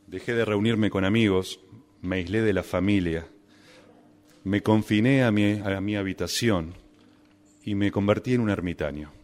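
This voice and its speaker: A man's voice: lowish voice, normal speed, pauses often, darkish tone, a little hiss or hum, lightly acted. A man with an Argentine accent playing an anguished, melodramatic addict in a comic radio drama, narrating his own suffering. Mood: rueful narration. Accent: Argentine Spanish. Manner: stammering, exasperated, melodramatic.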